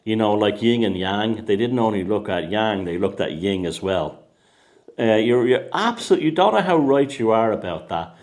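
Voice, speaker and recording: A man's voice: on the low side, lively intonation, normal speed, a little mellow. An Irish man, an author, chatting casually and rambling, with many restarts and repeated words. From Ireland. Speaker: author and host. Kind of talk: casual conversation.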